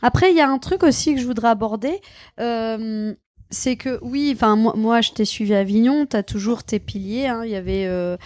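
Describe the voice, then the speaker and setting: Woman podcast host, slightly high voice, lots of ums, dry close sound. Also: moderate pace, a little mellow, no room sound. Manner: hesitant, many fillers and restarts, laughs easily. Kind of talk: casual podcast chat.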